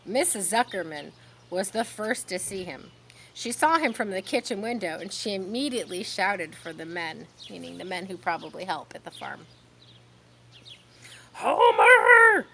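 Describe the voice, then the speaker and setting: A woman reading a children's story aloud expressively, pausing to explain words in simple asides. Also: fairly low pitch, vocal fry, slight background noise. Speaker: storybook reader.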